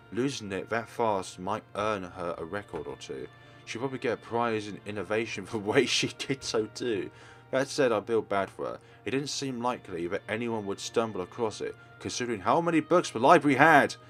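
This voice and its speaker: A man's voice: on the low side, fairly bright tone. Man reading a visual novel aloud. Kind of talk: reading game narration aloud.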